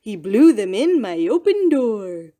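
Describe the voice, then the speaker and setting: A woman doing a polite, calm goat. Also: medium pitch, slow pace. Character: goat, male, calm, polite, odd eater.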